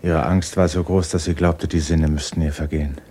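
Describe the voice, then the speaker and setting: A man narrating a literary radio play in a sombre, measured, restrained manner. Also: very deep voice, flat delivery, mellow, husky.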